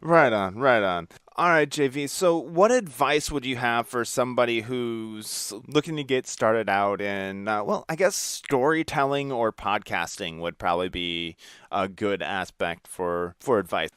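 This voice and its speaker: A man with a clear, smooth voice of average pitch hosts a casual interview. He sounds informal and agreeable, and the recording is very dry. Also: unhurried, fairly bright tone, no room sound. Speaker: podcast host, interviewer.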